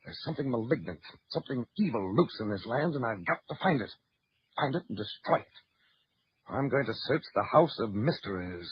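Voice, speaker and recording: An actor playing a grave, resolute adventurer uncle in a 1940s radio drama. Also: male voice, mid-range voice, lively intonation, a little fast, close to an everyday voice. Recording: muffled, hissy old radio recording.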